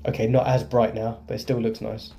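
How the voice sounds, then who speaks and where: A man's voice: mid-range voice, flat delivery, brisk, vocal fry, dark tone, a little hiss or hum. A man giving casual gameplay commentary as the host of a gaming video.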